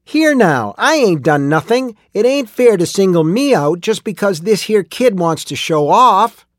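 A male narrator acts out a slight miner in a storybook, defiant and belligerent. The voice jumps high into an indignant squawk, quick to protest and to insult. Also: very animated, a bit bright, dry recording, clean studio sound, exaggerated voice. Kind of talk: audiobook character acting.

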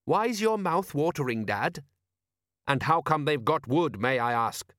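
A man's voice: fairly high pitch, animated, a little slow, fairly bright tone, dry recording, voice pushed up. A man plays a working-class fisherman dad in a comic storybook. He is stubborn, defensive and full of blustering indignation, speaking colloquially in a dry, close recording. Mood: indignant, blustering.

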